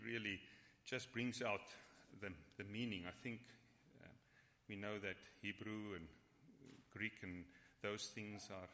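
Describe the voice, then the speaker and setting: A man whose first language is Afrikaans leads a church Bible study and prayer, speaking haltingly with frequent restarts. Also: lowish voice, sharp tone. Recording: long hall reverb.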